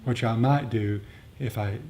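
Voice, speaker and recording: Mellow, halting male meditation teacher. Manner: halting, reflective, explanatory. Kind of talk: dharma talk and question-and-answer. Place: group meditation gathering. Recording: rumbly background noise.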